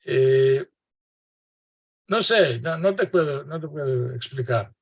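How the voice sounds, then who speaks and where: Older man, gravelly, husky, slow, hesitant, video call guest. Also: medium pitch, animated. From Greece. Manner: hesitant, many fillers and restarts, laughs often. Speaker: guest linguist. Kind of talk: conversational interview answers.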